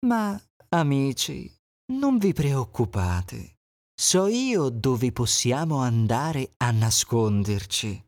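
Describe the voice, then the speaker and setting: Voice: medium pitch, big pitch swings, slow pace, a little mellow. A narrator doing a sly, coaxing fox lady. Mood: friendly. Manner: coaxing, falsely reassuring. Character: sly, smooth-talking female fox.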